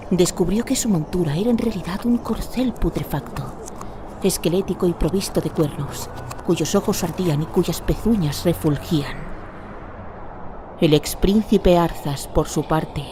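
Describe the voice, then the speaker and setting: A woman's voice: lowish voice, noisy background. A woman narrating a fantasy radio drama in a dramatic, scripted storytelling style. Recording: music behind the narration.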